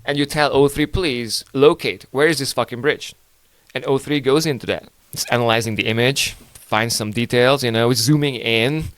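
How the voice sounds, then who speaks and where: A man's voice: medium pitch, normal speed, fairly bright tone, dry recording. A man with Hungarian as his first language hosting a podcast, rambling through news in a casual, profane, joking way with lots of fillers. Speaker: podcast host.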